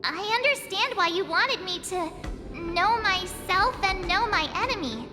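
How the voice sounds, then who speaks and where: A woman's voice: very high-pitched, animated, measured pace. A woman voicing a cheeky, upbeat young female swordswoman in a game cutscene, chirpy and self-assured. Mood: relaxed. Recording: reverberant mix with game music.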